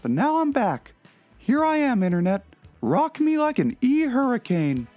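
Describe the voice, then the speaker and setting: A woman's voice: fairly high pitch, sing-song, measured pace, mellow. Woman, theatrical journal reading, swooping intonation, light music behind. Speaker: reader in a dramatic reading of a fictional blog. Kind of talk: dramatic reading of a confessional journal. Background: background music.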